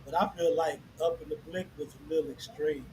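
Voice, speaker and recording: Man, podcast co-host, measured, slightly high pitch, faint background hiss. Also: little pitch movement, dark tone, rough recording. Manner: measured, reasoning aloud. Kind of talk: casual chat.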